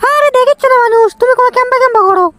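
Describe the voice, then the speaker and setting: A man's voice: very high voice, racing, bright, forceful tone, no room sound. A man voicing a bossy, impatient young girlfriend in a comic cartoon, scolding with a Bogura accent from northern Bangladesh. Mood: exasperated. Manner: scolding, exasperated.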